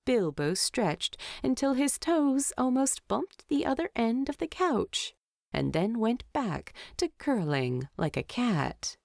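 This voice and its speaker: A woman's voice: on the high side, sing-song, takes it slowly, gravelly, no room sound, studio quality. A woman narrating a scripted story as an audiobook-style reader.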